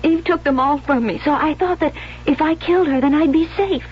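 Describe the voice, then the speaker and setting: A woman's voice: sits high, brisk, natural voice. An actress playing a jealous, unstable young woman in a 1970s radio drama, intense and pleading, on a dull vintage recording. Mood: desolate, resolved. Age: young adult. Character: jealous, obsessive, unstable young bride-to-be turned killer.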